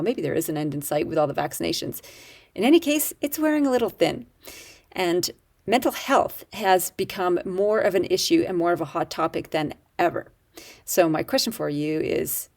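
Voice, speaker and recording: A woman hosting a leadership podcast, chatty and quick to laugh at herself. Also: fairly low pitch, darkish tone. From Canada. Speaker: podcast host. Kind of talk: podcast intro and interview.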